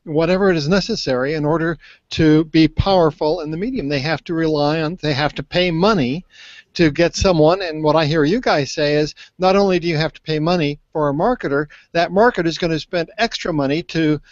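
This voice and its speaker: An older man with a slightly high voice gives opinions in a casual panel discussion. The sound is dry and close, though a little dull. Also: brisk, no echo. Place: small room indoors on a video call. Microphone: handheld microphone held close. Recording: dull lo-fi video call audio.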